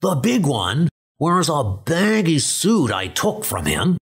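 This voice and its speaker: Man voicing gruff, callous mutant rat crooks. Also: fairly high pitch, wildly swooping pitch, slow, heavily acted. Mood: gruff, scheming. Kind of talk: comic dub character acting. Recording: music under the voice.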